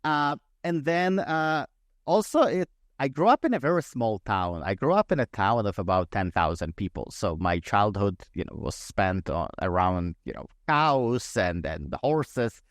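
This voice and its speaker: A man's voice: on the low side, sing-song, measured pace, no echo, clean studio sound. A man in his thirties from Ukraine, a podcast guest speaking conversationally and thoughtfully, often restarting and repeating words. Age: 30s. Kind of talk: conversational interview.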